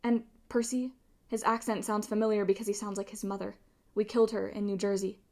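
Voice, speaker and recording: A woman voicing a sharp young heroine in an audiobook, curt, bristling and assertive. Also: medium pitch, flat delivery, brisk, lightly acted. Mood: defiant.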